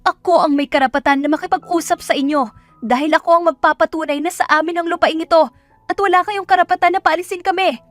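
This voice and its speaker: A woman acting a feisty, indignant young farm woman who speaks forcefully in an audio drama. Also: very high voice, fast talker, faint music behind, voice pushed up. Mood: angry, defiant. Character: feisty, defiant 25-year-old village farm woman. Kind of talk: Tagalog audio drama dialogue.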